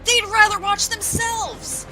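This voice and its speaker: A woman's voice: very high-pitched, fairly even intonation, takes it slowly, sharp tone, soft background music, voice pushed up, full character voice. A woman voicing a defiant, mocking heroine in an emphatic cartoon table read. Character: defiant young heroine mocking a fading star.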